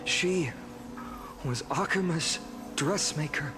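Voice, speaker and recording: Casting: an earnest young game hero leader, slow measured speech, solemn, set over clear music. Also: male voice, fairly high pitch. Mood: solemn, tender. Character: earnest, noble young male hero leader addressing his people.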